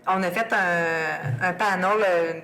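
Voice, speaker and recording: A woman's voice: lowish voice, takes it slowly, fairly bright tone, a little hiss or hum. A woman in her forties with a Québécois French accent, hosting a podcast in a chatty, easygoing way and laughing easily. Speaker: podcast host. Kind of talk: casual podcast conversation.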